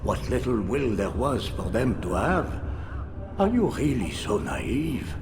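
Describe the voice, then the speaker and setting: An actor playing a cold, cruel medieval doctor in a game cutscene, proud, eloquent and condescending, with music behind him. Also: male voice, mid-range voice, sing-song, takes it slowly, noisy background. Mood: scornful, self-assured. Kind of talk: dramatic video game cutscene acting. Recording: music under the voice.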